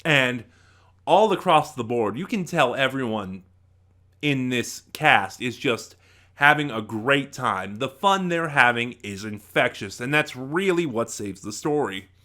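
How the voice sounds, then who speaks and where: A man giving an informal spoken review, thinking aloud in a halting, emphatic way. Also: mid-range voice, pushed and bright. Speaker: review channel host.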